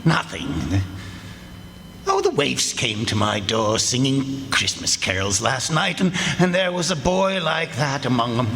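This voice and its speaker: Casting: male actor as wistful, remorseful old miser for stage radio play; creaky, slow, halting, exclamatory delivery. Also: mid-range voice, big pitch swings, a little hiss or hum. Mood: sorrowful. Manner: exclamatory, halting, full of sighs and repetitions. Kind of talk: live stage radio drama acting. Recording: live stage performance with loud music.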